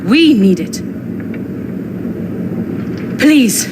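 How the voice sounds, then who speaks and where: High-voiced earnest woman ally, noisy background. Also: sing-song, extremely slow, full character voice. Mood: urgent, pleading. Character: Bukra, earnest, thorough woman logistics partner. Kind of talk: video game cutscene acting.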